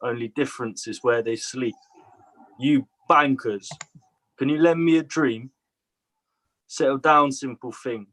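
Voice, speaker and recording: Bright-voiced man reading slowly. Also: mid-range voice, dry recording, low-quality recording. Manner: slow, measured reading. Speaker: poet. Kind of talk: reading his own poem.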